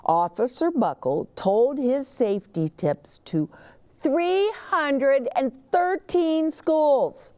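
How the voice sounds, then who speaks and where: A woman hosting storytime, reading a children's picture book aloud expressively, with dramatic pauses and emphasis. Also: high voice, expressive, warm tone. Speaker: children's storytime reader and host.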